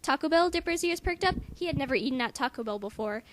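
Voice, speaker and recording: A woman's voice: sits high, animated, quick pace. A woman doing an amateur mock-dramatic story reading at home, mixed with casual commentary. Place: friend's house. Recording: faintly noisy amateur home recording.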